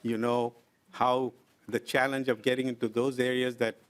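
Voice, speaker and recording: A middle-aged man testifying before a legislative committee. He speaks in an earnest, deliberate way and pauses often with "uh". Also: mid-range voice, level tone, clean tone. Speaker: invited presenter at a legislative committee. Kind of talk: formal testimony, explaining with examples. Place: legislative committee hearing room.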